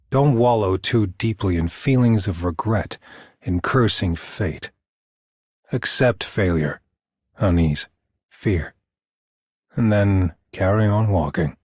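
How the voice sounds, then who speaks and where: An actor playing a solemn, poetic, brooding man in a fantasy game, speaking gravely and with care. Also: deep voice, no room sound, studio quality, full character voice. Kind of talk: game character voice line.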